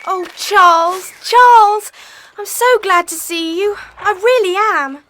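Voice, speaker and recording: A woman's voice: extremely high pitch, takes it slowly, bright, forceful tone, full character voice. A woman playing an earnest, affectionate runaway schoolgirl in an audiobook dramatization. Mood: overjoyed relief.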